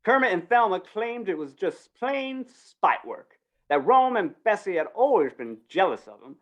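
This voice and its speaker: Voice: mid-range voice, sing-song, normal speed, muffled recording, lightly acted. An actor playing a gossipy small-town undertaker and justice in an old-time western radio drama, with drawling rural talk. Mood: relishing the tale.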